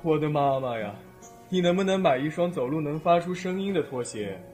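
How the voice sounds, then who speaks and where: A man's voice: on the high side, expressive, a little fast, darkish tone, lightly acted. A man acting a caring but exasperated teenage schoolboy and older brother in an audio drama. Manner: dry, long-suffering. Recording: music playing behind.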